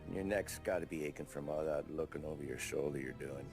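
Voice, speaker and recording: A man's voice: very deep voice, fast, gravelly, over music. A man playing a world-weary, shrewd police detective in a video game, with an American accent and a sardonic, slangy, probing manner. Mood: pressing, knowing. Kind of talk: cinematic video game acting.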